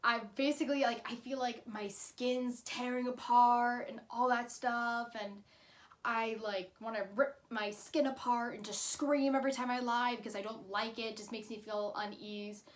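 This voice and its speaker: High-pitched, chatty young woman storyteller. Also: steady tone, normal speed. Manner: chatty, informal, dramatic emphasis in retelling. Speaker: YouTube storyteller. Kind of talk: casual personal storytelling.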